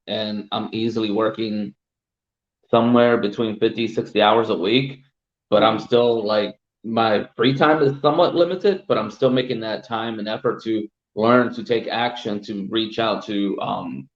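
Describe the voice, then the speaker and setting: A young man from El Salvador talking earnestly in a casual podcast chat, often speeding up in quick bursts. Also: on the low side, echoey room, lo-fi sound.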